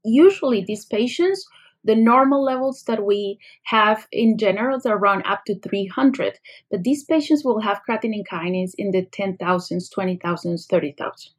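A woman's voice: mid-range voice, moderate pace. A middle-aged woman from Colombia with a Colombian Spanish accent, a doctor explaining a medical condition in a podcast interview, patient and full of analogies. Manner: explanatory, uses analogies, some fillers. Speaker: pediatric neurologist guest.

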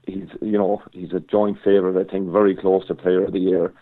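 A hoarse Irish man talking football on a call as a guest pundit, rambling with lots of restarts. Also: low voice, little pitch movement, brisk. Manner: rambling, stop-start, frequent restarts. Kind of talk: casual analysis over the phone. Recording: tinny phone line.

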